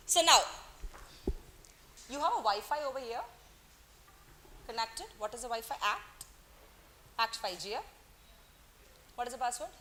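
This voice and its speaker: Woman, bright tense voice, playful teacher, classroom lecture. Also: a little high, animated, a little slow, long pauses, a little hiss or hum. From Kerala, India. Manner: playful, teasing, coaxing students, mixes in Hindi words. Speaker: economics teacher.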